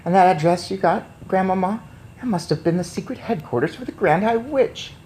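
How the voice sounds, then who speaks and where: A man voicing an eager, excitable young boy who has become a mouse, questioning and exclaiming urgently in a storybook. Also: high-pitched, brisk, a little hiss or hum, pitched above the performer's own voice. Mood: impatient.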